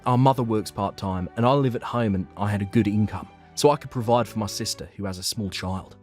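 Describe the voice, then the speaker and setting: Male actor as earnest young salaryman, low-pitched, anxious first-person manga narration, music underneath. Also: brisk, darkish tone, close to an everyday voice. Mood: narrating, sympathetic.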